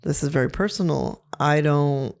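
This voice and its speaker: A middle-aged woman with a low voice, co-hosting a casual podcast and giving earnest, reflective advice. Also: moderate pace, a little mellow. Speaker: co-host.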